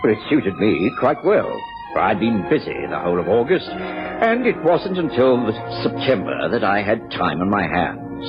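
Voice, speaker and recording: A genial English doctor telling a radio mystery. His pitch swings widely through genteel, measured phrases. The recording is muffled, with music prominent behind him. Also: huge pitch swings. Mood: reflective storytelling. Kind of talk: radio drama narration and dialogue.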